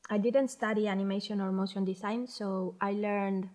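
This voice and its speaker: Mellow, hesitant woman with a Spanish accent. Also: medium pitch, steady tone, moderate pace. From Spain. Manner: hesitant, searching for words. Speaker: interviewee, animation director and animator. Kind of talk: reflective interview talk.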